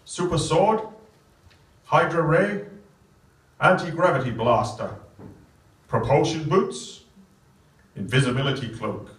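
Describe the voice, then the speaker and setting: A man's voice: a little high, expressive, takes it slowly, long pauses, a bit bright. A man playing a vain, self-important superhero who declaims grandly and earnestly in a live stage comedy. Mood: boastful. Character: vain, boastful, self-important male superhero with heroic airs. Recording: echoey theatre, distant microphone.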